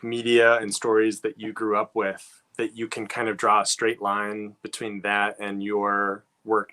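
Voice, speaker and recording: A man's voice: lowish voice, fairly bright tone. A man hosting a casual interview, asking informal, rambling questions as he chats. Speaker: interviewer, host.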